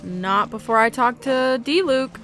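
A woman's voice: fairly high pitch, animated, a little slow, fairly bright tone. A woman giving casual gameplay commentary, chatting and muttering to herself as she plays. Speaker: gaming video host. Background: game music.

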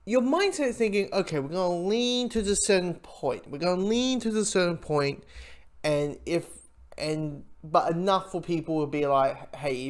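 A man giving an informal spoken review, talking in a rambling, halting way with frequent asides. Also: on the high side. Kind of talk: informal audio drama review commentary.

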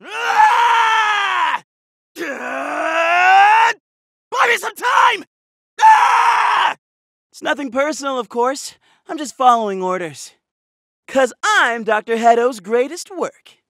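A very high, bright, shouting voice that is lively and a little gravelly. It belongs to a flashy young android superhero in a game, bragging and hurling battle cries at a measured pace. The sound is dry and close. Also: male voice, dry recording, heavily acted. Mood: cool, taunting. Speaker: voice actor. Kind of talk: video game voice clips.

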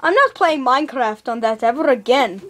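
A woman's voice: high-pitched, expressive, fairly quick, a crowd in the background. A woman playing a bossy, sarcastic, easily exasperated video game hero in an improvised amateur skit.

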